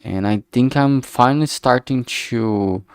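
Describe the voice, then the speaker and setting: A man talking casually on a work-along livestream, rambling and halting as he thinks aloud. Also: mid-range voice, clean tone, dry recording, clean studio sound. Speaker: livestreamer making a visual novel.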